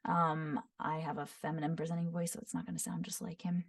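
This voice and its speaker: A woman voice actor teaching voice impressions, describing each voice in short phrases and often breaking into quick character demos. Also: low voice, level tone, vocal fry. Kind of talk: voice impression tutorial. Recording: voice somewhat far from the mic.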